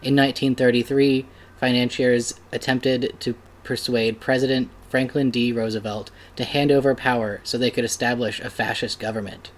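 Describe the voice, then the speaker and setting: A man reading an essay aloud at a group reading in an even, deliberate way. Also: medium pitch, low-quality recording.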